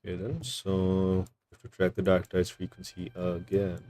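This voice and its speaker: A man's voice: low-pitched, monotone, moderate pace, mellow, dry recording, soft background music. A man streaming a video game, giving casual playthrough commentary as he reacts to the story.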